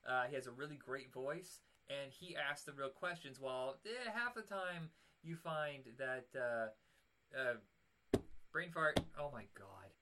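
A man's voice: mid-range voice, measured pace, sharp tone, slight background noise. A man with a nasal voice, hosting a podcast in casual, rambling chat. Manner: rambling, self-deprecating. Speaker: podcast host.

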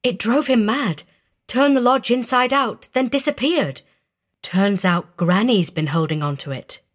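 A woman playing a quiet, uneasy young girl in an audio story, speaking in a hushed, matter-of-fact way. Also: on the high side, expressive, measured pace. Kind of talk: audio story character acting.

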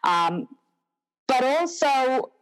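A middle-aged woman with a Finnish accent, answering as an academic interview guest in halting, thoughtful phrases. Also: sits high, very animated. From Finland.

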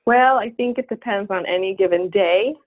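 A woman in her forties from New York, a podcast guest chatting casually with lots of fillers and pauses. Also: mid-range voice. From Long Island, New York. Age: 40s. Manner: rambling, frequent fillers and laughs. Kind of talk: casual interview chat. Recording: phone-like narrow sound.

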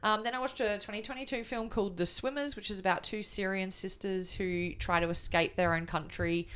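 A woman's voice: medium pitch, steady tone, fairly quick, a bit bright, smooth. A woman co-hosting a podcast, chatting informally and enthusiastically about what she has watched, with casual slang. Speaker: podcast co-host. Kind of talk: casual film and TV review chat.

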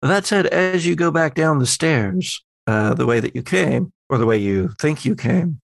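A man's voice: on the high side, animated, normal speed, vocal fry, no room sound. A man narrating an improvised horror tabletop game as game master.

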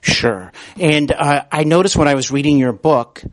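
A man hosting an interview show, speaking earnestly in a halting way as he introduces and questions his guest. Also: fairly high pitch, dry recording. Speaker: podcast host.

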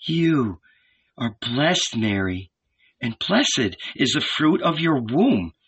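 A man's voice: high-pitched, wildly swooping pitch, slow pace, sharp tone, breathy edge, pitched above the performer's own voice, heavily acted. Storybook old woman played by a man, raised voice, swooping emphasis, tender, awed and fervent. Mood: reverent. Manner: earnest, coaxing, emphatic.

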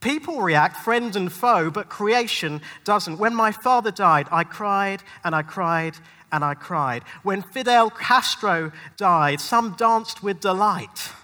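A man preaching as a pastor in a dramatic spoken-word style, with rhetorical repetition and deliberate pauses, recorded live in a large venue. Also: high-pitched, animated, sharp tone. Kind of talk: dramatic sermon with rhymed spoken-word passages. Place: large indoor venue, speaking live to a gathering. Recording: live hall with long reverb.

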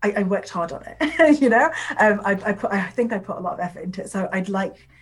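A woman's voice: medium pitch, animated, quick pace, breathy edge. A woman whose first language is Urdu, speaking as a poet in a conversational interview and giving long, rambling answers full of filler words.

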